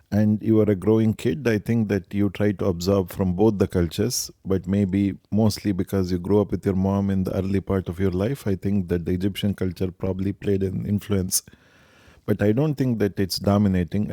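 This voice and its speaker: A middle-aged man, answering family interview questions in a measured, reflective way. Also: lowish voice, warm tone, no room sound, clean studio sound. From India. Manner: measured, reflective, some hesitations. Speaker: interviewee, stepfather.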